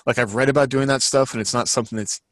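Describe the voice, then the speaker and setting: A man in a casual podcast chat as a fiction writer, talking in a rambling, halting way as he thinks aloud. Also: mid-range voice, steady tone, dry recording.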